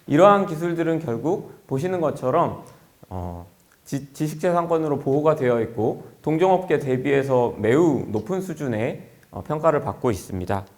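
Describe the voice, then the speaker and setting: A man presents formally at a forum in the role of a company executive. His voice sits a little high for a man and moves with very lively intonation. He explains his points politely and in an orderly presentation style. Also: moderate pace.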